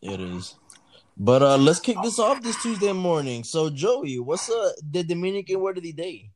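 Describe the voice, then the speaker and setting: Lively bilingual male podcast host. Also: fairly high pitch, moderate pace, a little hiss or hum. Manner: animated, mixes Spanish slang into English. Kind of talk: casual bilingual podcast banter.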